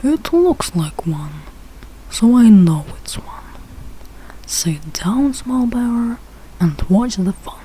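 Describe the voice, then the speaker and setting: A woman's voice: on the high side, big pitch swings, slow, dark tone, a little hiss or hum, poor audio. A woman voicing a confident, boastful father bear from a rhyming storybook, speaking in a self-assured, instructive way.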